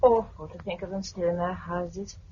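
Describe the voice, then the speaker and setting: A woman's voice: on the low side, steady tone, moderate pace, dark tone, natural voice. A woman in her twenties with a British accent playing a plucky, wry young woman in a 1950s radio drama. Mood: rueful, plucky. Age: 20s. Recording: muffled, hissy 1950s radio recording.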